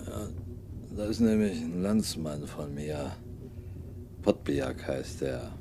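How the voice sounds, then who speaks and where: An actor playing a deferential, sly sailor in a radio drama, talking in a halting and roundabout way. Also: male voice, extremely low pitch, lively intonation, heavily acted. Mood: roundabout, confiding. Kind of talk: 1950s radio drama acting. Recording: slightly hissy 1950s radio recording.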